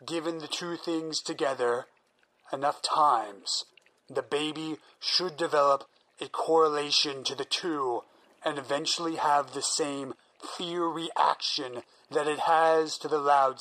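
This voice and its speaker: A man's voice: fairly high pitch, pushed and bright, exaggerated voice, rough recording. An actor playing a single-minded male scientist who explains his plans in an earnest, persuasive way. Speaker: researching psychologist. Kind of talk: audio drama acting.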